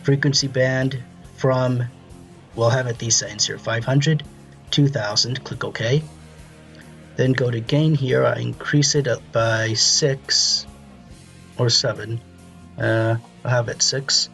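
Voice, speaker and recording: Man narrating a software tutorial, mid-pitched. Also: fairly even intonation, slow, vocal fry, faint music behind. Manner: casual, instructional. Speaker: tutorial presenter.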